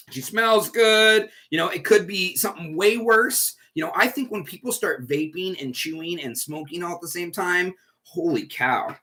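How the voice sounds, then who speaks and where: A man's voice: a little high, pushed and bright. A man with a stuffy, congested nose, hosting a chatty livestream talk at home as leader of a recovery group. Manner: chatty, rambling, upbeat. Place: at home indoors.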